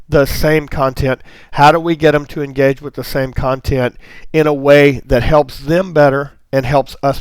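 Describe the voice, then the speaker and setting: A man with a slight laryngitis rasp explains things step by step in a friendly teaching tone. His voice sits at an ordinary middle pitch. It is recorded close and dry, with no room sound. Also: no echo. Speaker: online marketing teacher. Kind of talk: screen-recorded tutorial.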